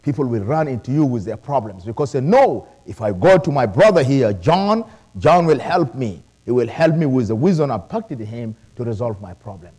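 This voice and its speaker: A middle-aged man who learned English later, preaching a sermon with animated calls of praise. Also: mid-range voice, moderate pace. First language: not English. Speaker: pastor.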